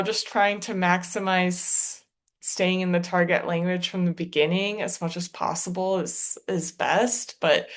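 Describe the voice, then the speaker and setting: A woman answers audience questions as the guest presenter of an online webinar. She speaks slowly and thoughtfully, hedging her advice. Her voice comes through a video call. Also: fairly low pitch, clean tone. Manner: thoughtful, hedging, laughs easily.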